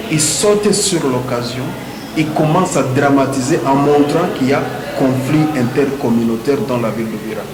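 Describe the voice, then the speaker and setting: A man with a Congolese French accent giving an argumentative debate speech, emphatic and rhetorical, repeating phrases for emphasis. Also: mid-range voice. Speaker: debate speaker. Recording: echoey room with background noise.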